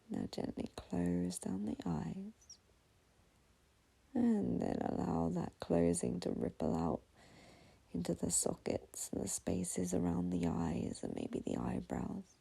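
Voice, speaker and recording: A woman whispering a slow, soothing guided meditation as its teacher. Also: lowish voice, gravelly, dark tone, husky, poor audio.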